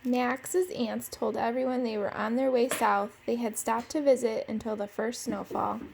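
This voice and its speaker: A woman's voice: a little high, normal speed, gravelly, a little hiss or hum. A woman teacher reading a picture book aloud expressively to children. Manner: expressive, simple phrasing for young children.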